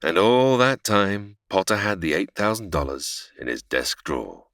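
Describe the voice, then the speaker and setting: A man's voice: low voice, lively intonation, moderate pace, a bit bright, no echo, clean studio sound. A man playing an earnest, sympathetic storyteller who explains events in a comedy audio drama.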